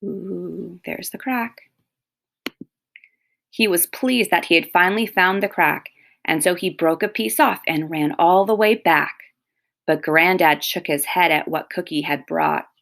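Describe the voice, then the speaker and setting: A woman reading a children's picture book aloud expressively, pausing to comment and explain to young listeners. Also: lowish voice, creaky, a bit bright.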